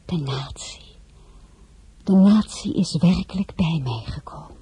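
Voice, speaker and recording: A proud young crown princess who speaks in a hushed, often half-whispered voice. The tone is dark and mellow with a very husky grain. The lines are quiet and composed. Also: female voice, on the low side, unhurried. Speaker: narrator and lead character. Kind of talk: radio play acting with first-person narration.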